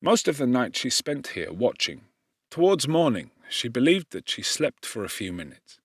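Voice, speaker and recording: A man with a mid-pitched voice narrates literary fiction. His delivery is solemn and expressive, measured like formal dramatic storytelling. The recording is dry, with no room sound around the voice. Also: breathy edge, dry recording. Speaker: audiobook narrator.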